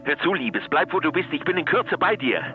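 A man's voice: on the high side, big pitch swings, a little fast, phone-quality sound, soft background music. An actor playing a controlling older father in a German radio thriller, pressing and calculating. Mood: urgent, controlled.

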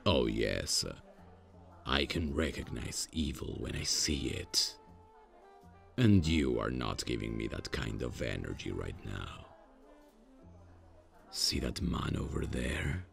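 An extremely deep-voiced male demon, acted by a man, in a fantasy audio roleplay. He talks very slowly and stiffly, deadpan and awkward yet menacing, with a gravelly creak in the voice. Music plays faintly behind him. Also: full character voice. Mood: dry, curious. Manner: slow, stilted, halting, deadpan.

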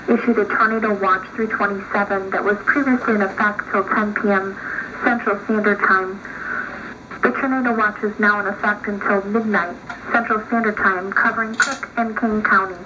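A woman's voice: medium pitch, steady tone, moderate pace. A woman reading a scripted emergency alert as an announcer on a radio-like broadcast. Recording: noisy, dull lo-fi radio-like broadcast.

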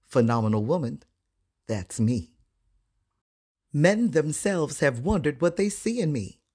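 Husky woman reciting poetry with lively swings. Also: deep voice. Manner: confident, deliberate phrasing. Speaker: voice-over artist. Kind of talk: expressive dramatic poetry recitation.

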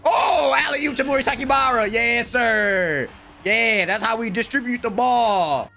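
A young man doing excited live reaction commentary, slangy and exclamatory, repeating words, on a close mic in a small room at home. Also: very high voice, measured pace, vocal fry, faint music behind. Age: young adult. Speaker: anime reaction host. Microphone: close desk microphone with headset on.

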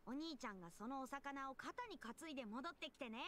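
A woman's voice: high-pitched, lively intonation, very fast, crowd noise. A woman voicing an innocent, earnest young boy in an anime game, eager and childlike. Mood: proud, excited. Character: earnest, innocent young boy missing his older brother. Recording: game music behind the voice.

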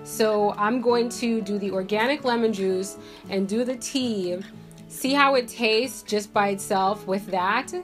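A woman's voice: mid-range voice, a little slow, faint music behind. A woman in her thirties from Jamaica, congested from a cold, chatting casually to camera in her bedroom with lots of fillers. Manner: chatty, rambling, many "like" and "um" fillers. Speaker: beauty vlogger. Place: bedroom at home, indoors.

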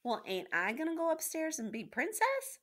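Woman doing a loud, naive servant girl. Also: sits high, sing-song, moderate pace, voice pushed up. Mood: pleading. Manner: loud, rustic, ungrammatical speech. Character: simple, neglected servant girl, naive, wistful, stubborn. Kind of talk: storybook read-aloud character voice.

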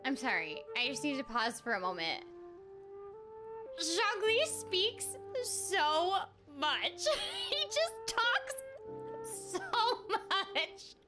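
A woman's voice: extremely high pitch, sing-song, slow pace. A young woman game streamer giving casual, teasing reaction commentary in a wry, giggly manner. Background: game music.

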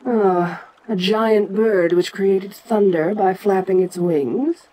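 A woman's voice: mid-range voice, takes it slowly, dark tone, low-quality recording. A woman playing an ancient giant kaiju queen in an audio roleplay, proud and curious, with a domineering, stately manner. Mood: curious, commanding. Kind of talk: dramatic audio roleplay addressed to the listener.

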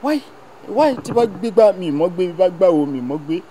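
Actor playing a pleading young son. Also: male voice, sits high, animated, normal speed, mellow, slight background noise, poor audio. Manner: pleading, repetitive, code-switching. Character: frustrated young adult son who loves music.

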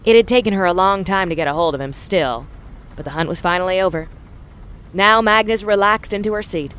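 A woman's voice: medium pitch, brisk, a bit bright, clear, resonant tone. A woman reading scripted story narration for an audio drama.

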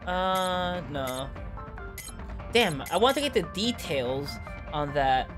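A man's voice: high voice, sing-song, slow pace. A man with a Brooklyn accent giving casual, joking commentary while playing a game on a live stream. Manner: chatty, joking. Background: game music.

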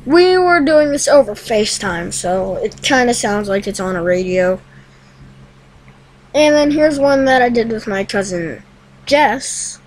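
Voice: medium pitch, lively intonation, unhurried, slight background noise, rough recording. A young teenager hosting a casual screen-recorded tutorial in a rambling, hesitant way. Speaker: tutorial host. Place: room at home.

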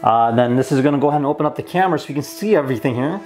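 A man's voice: medium pitch, animated, racing, darkish tone, faint music behind. Easygoing man demonstrating a gadget. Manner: easygoing, mildly enthusiastic. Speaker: host of a toy review channel. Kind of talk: casual hands-on product demo. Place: plain indoor room at a table.

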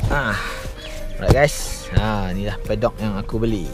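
A young Malaysian man chatting casually to his viewers in a relaxed vlog, filming himself with a handheld action camera in his car and around a shop. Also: fairly low pitch, unhurried, loud music behind the voice. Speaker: vlogger.

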